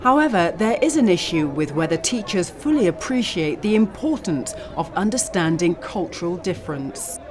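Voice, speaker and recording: A woman reporting for a documentary, narrating and putting clear, inquisitive questions to students. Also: on the low side, big pitch swings, normal speed, hardly any pauses, over music. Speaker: reporter and interviewer.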